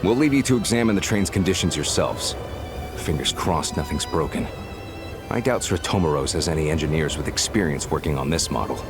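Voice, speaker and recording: A man's voice: rumbling low, lively intonation, fairly quick, over music. An actor playing a courteous, chatty male railway official in a video game. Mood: friendly, advisory.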